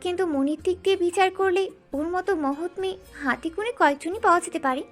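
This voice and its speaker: Very high, quick young girl played by a woman. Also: soft background music. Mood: friendly. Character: bright, friendly young college girl, a sister.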